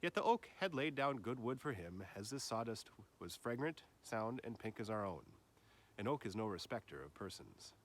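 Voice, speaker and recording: Man reading aloud over background noise. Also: medium pitch. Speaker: teacher reading aloud for a class. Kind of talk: audiobook-style reading of a literary nature essay.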